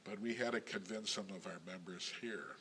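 An older man, a synagogue president, talking in a measured, halting way with frequent pauses and self-corrections. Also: fairly low pitch, sharp tone, slight background noise. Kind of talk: recorded interview reminiscence.

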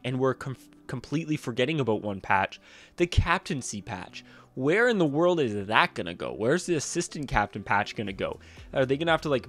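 A man's voice: mid-range voice, fairly bright tone. Chatty young man with a stuffy cold. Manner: chatty, opinionated, talks with his hands. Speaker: hockey jersey YouTuber. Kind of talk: casual vlog commentary. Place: small room with jerseys hanging behind. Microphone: desk mic on a boom arm.

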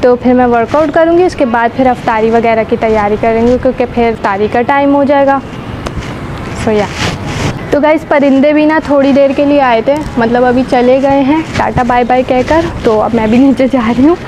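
A young woman with a hoarse, sore throat, vlogging casually to her viewers on a phone held at arm's length, rambling and giggly. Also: high voice, moderate pace, noisy background. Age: young adult. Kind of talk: casual chatty vlog narration. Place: rooftop outdoors, then home kitchen.

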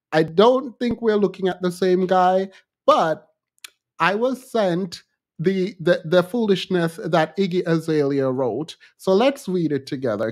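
A man in his thirties hosting a casual livestream commentary, speaking in an animated, sassy and colloquial way into a close desk mic. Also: high voice. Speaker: livestream host. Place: small indoor home studio. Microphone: close desk microphone.

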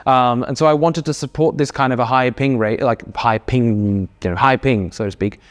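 A man, a game developer answering interview questions in a relaxed, filler-heavy way while gesturing. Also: mid-range voice, moderate pace. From Australia. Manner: explains with lots of fillers, restarts and hand gestures. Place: indoor office studio.

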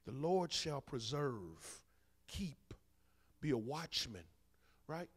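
A man, preaching a sermon as minister. He swings between loud bursts and soft asides, teasing his congregation. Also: medium pitch, sing-song, slow pace, slightly rough. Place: large church sanctuary. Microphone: handheld mic. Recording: long church hall reverb.

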